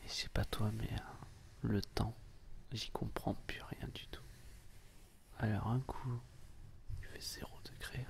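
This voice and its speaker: A man speaks in a breathy whisper with a gravelly edge. He chats casually and close, as an ASMR host would, teasing the listener playfully and intimately. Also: fairly low pitch, flat delivery, a little slow, pauses often.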